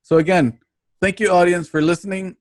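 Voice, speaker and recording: Slightly high-voiced male show host. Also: steady tone, brisk, dry recording, clean studio sound. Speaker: host of an online radio drama show. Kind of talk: casual live-stream sign-off with plugs and thanks.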